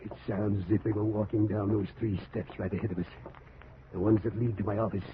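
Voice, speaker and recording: An actor playing a short-tempered, domineering planter husband in an old-time radio drama, blustering and uneasy, on a thin, noisy vintage recording. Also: male voice, fairly low pitch, little pitch movement, fairly quick, narrow, tinny audio, natural voice. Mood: hushed, uneasy. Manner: blustering, barking orders.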